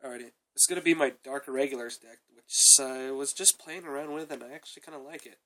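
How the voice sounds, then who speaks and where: A man's voice: medium pitch, fast, sharp tone. Young man with a cold, rambling casually. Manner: rambling, repeated fillers like "though" and "uh". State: sick with a cold, coughing. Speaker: card game reviewer. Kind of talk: casual deck review.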